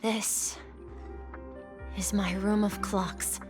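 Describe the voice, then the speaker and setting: Soft wistful little boy, game character, real slow and earnest, faint music under. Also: medium pitch, level tone. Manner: measured, earnest, reflective. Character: gentle, wistful young boy, dream dweller. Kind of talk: video game story dialogue.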